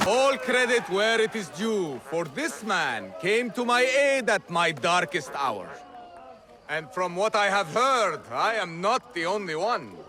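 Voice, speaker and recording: Casting: proud theatrical rebel for game cutscenes, slightly high voice, grandiloquent and wry, swinging intonation. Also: male voice, very animated, takes it slowly, a crowd in the background, heavily acted. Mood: grand, pleased. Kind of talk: video game cutscene dialogue.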